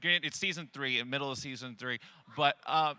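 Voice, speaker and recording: A man's voice: medium pitch, normal speed, sharp tone, clean tone. A man who is a voice actor, chatting in a jokey, rambling, profane way on a live convention panel. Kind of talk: joking panel chat.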